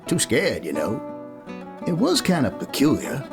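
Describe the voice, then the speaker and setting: A man's voice: mid-range voice, huge pitch swings, a little slow, creaky, exaggerated voice. A man playing a folksy cowboy storyteller narrating a Western radio play. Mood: warmly folksy. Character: folksy cowboy storyteller telling a boy a tale. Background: guitar music.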